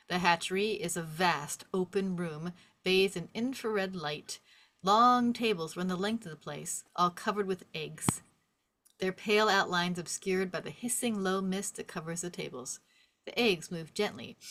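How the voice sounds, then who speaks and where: A woman's voice: fairly low pitch, a little slow, fairly bright tone. A woman reading stage directions as a scripted narrator, in a vivid descriptive storytelling manner.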